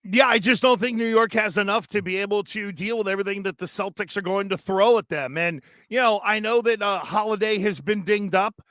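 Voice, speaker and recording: A man co-hosts a casual sports show, easygoing and measured as he weighs in. His voice sits quite high and sounds close and dry, with no room around it. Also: pushed and bright, no room sound. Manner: easygoing, agreeable reactions and measured opinions. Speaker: co-host. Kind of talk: casual sports radio chat.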